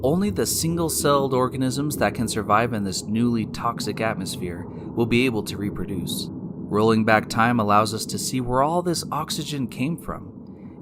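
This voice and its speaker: A man hosting and narrating a scripted science story in a deliberate, explanatory way, speaking directly to the listener. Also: on the low side, background noise. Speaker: podcast host and narrator. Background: music.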